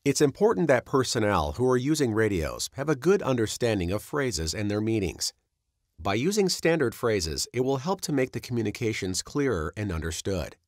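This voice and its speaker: A man reading scripted instructional narration as a training presenter, in a measured, instructive manner. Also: on the low side, big pitch swings, no room sound, studio quality.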